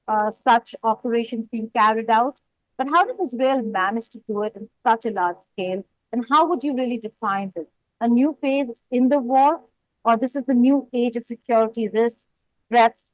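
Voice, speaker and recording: A woman anchors a news interview in a fairly high voice. She asks her questions in deliberate, emphatic chunks. The sound is dry, with little room in it. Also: no echo, poor audio.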